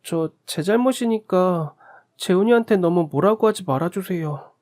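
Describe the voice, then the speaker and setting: A man's voice: high voice, normal speed, a little mellow, pitched above the performer's own voice, close to an everyday voice. A man voicing a lonely, polite young boy in a radio drama story, speaking earnestly and apologetically. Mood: apologetic.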